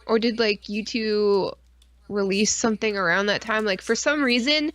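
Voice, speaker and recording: A woman's voice: medium pitch, moderate pace, fairly bright tone. A woman co-hosting a podcast live stream in casual, informal chat with plenty of swearing.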